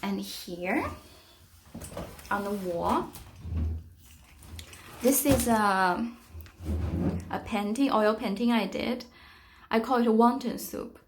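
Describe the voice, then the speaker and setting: A middle-aged woman from China with a Chinese accent, giving a reflective, casual tour of her art studio with frequent pauses and fillers. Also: on the high side, noisy background. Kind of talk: casual guided tour narration.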